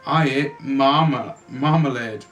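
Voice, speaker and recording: A man's voice: medium pitch, slow, a bit bright, faint music behind. A man voicing a small, polite, earnest young bear in a children's storybook, speaking with formal manners.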